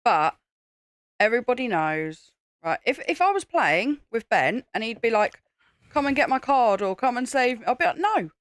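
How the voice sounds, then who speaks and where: A woman's voice: on the high side, sing-song, normal speed, no echo, clean studio sound. A woman co-hosting a livestream, teasing and mocking her partner in casual chat. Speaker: co-host.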